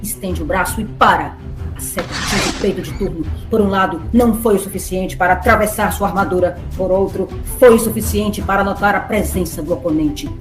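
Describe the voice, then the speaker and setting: A woman's voice: mid-range voice, a little fast, reverberant. A woman with a Brazilian accent giving dramatic scripted narration of an epic tale. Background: music.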